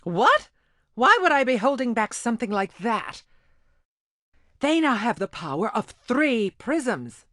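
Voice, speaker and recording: A proud female cartoon warrior, theatrical and haughty. Her voice sits fairly high and moves through broad, lively swings at a deliberate pace. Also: dry recording, clean studio sound. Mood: proud, brisk. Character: proud, competitive female gem warrior turned reluctant ally. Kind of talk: animated game voice acting.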